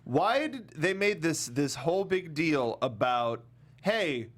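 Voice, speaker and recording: A man hosting a casual film discussion, talking in an animated way and acting out mock quotes as he goes. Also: on the high side, takes it slowly. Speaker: talk show host.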